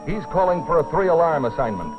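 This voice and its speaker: A man's voice: a little high, sing-song, a little fast. A man giving grave, urgent scripted narration in the dramatic style of an educational training film. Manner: grave, urgent storytelling delivery. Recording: dull, lo-fi old educational film soundtrack. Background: dramatic background music.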